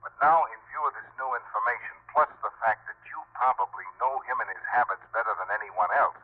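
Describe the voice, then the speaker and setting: An actor playing a businesslike, measured man on the telephone in a 1950s radio drama. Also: medium pitch, slightly rough. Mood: matter-of-fact. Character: businesslike man phoning an investigator with a tip. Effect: telephone filter. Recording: thin phone-like vintage radio sound.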